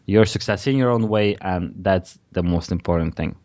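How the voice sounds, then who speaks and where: A man with a fairly low voice hosts a podcast interview. He talks conversationally and is encouraging and affirming toward his guest. The recording sounds dull and lo-fi. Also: normal speed, darkish tone.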